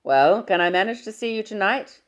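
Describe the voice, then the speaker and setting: A woman reading aloud and voicing a cheerful middle-aged father, with a jovial, brisk and booming manner. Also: lively intonation, highs cut off, natural voice. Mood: hearty.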